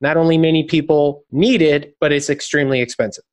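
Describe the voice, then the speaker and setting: A man hosting an interview podcast, reading his intro and statistics in a measured, scripted way. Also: on the high side, steady tone, dry recording. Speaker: podcast host.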